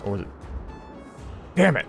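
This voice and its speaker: A man's voice: moderate pace, soft background music. A man giving casual, off-the-cuff commentary as he plays a game, muttering and thinking aloud. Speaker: gamer playing and reacting to a story game.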